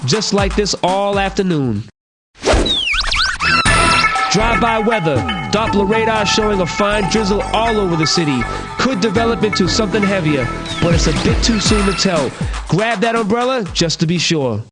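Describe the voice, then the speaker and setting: Casting: brash game-radio hip-hop DJ, high voice, playful and slangy, over music. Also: male voice, lively intonation, moderate pace. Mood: wry, upbeat.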